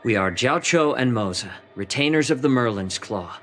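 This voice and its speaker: A man's voice: mid-range voice, lively intonation, normal speed, fairly bright tone, slight background noise, natural voice. An actor playing a polite, formal male retainer delivering a message. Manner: courteous, formal. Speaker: retainer, messenger. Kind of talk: game voice acting.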